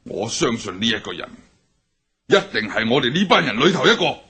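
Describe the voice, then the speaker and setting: An actor playing a stern older triad boss in a radio drama, speaking with a Hong Kong Cantonese accent and a raspy, commanding manner. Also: male voice, mid-range voice, big pitch swings, brisk, a crowd in the background. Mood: grave. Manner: commanding, barks orders. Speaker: gang leader.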